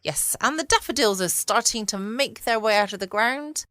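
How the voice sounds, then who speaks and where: A woman's voice: on the high side, expressive, normal speed, fairly bright tone, no echo, studio quality. A woman hosting a casual bedtime podcast in a rambling, self-deprecating way. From United Kingdom. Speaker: podcast host. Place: at home, close dry recording.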